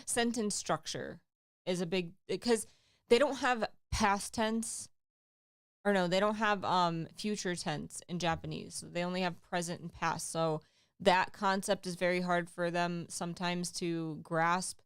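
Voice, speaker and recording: Smooth-voiced woman co-hosting a book stream. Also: lowish voice, steady tone, measured pace, no echo, studio quality. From English-speaking country. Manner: chatty, reads out chat comments, frequent fillers. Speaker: book club livestream co-host. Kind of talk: casual chat.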